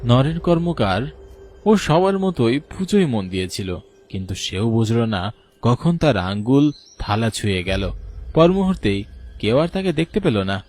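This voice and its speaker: A man narrating a scripted horror story in a measured, solemn manner. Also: medium pitch, very animated, a little mellow. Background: music bed.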